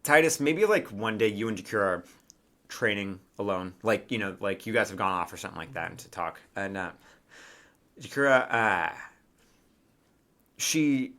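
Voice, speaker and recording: A man's voice: fairly low pitch, animated, moderate pace, long pauses, bright, forceful tone. A man working as game master, narrating a tabletop game in casual, improvised chat with asides and hesitations.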